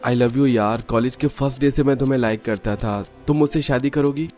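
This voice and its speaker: A man's voice: medium pitch, steady tone, brisk, a little mellow, faint music behind, voice pushed up, natural voice. A man voicing a timid, lovestruck young student in a cartoon story, hesitant and stammering. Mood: nervous. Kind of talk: Hindi cartoon story voice acting.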